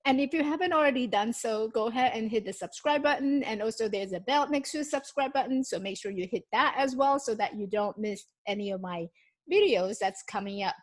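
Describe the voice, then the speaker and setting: A woman in her thirties with a slightly high voice, speaking English as a second language with Mandarin as her first. She talks casually and chattily to her viewers, restarting sentences and filling pauses with "um" while she holds up her notebooks. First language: Mandarin Chinese. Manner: chatty, with frequent restarts and ums; shows notebooks to the camera while talking. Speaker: YouTube host. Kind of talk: casual vlog talk.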